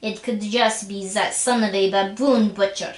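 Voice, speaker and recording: A man's voice: high voice, steady tone, moderate pace, pitched above the performer's own voice, close to an everyday voice. A man voicing a boastful, crude Russian man in a comic monologue, with an exaggerated mock Russian accent and broken grammar. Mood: deadpan bragging. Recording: roomy echo with faint music.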